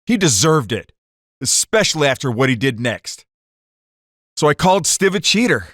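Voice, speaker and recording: A man's voice: medium pitch, sing-song, normal speed, a bit bright, dry recording, clean studio sound. A man playing a hot-headed card-cheating gangster telling his story in slangy 1940s radio drama style. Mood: narrating, bitter.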